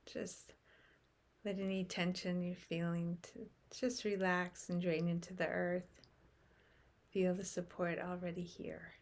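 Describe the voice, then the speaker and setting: Female meditation guide: lowish pitch, gravelly fry, soothing and gentle. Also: level tone, a little slow. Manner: gentle, soothing, with soft breathy phrase endings.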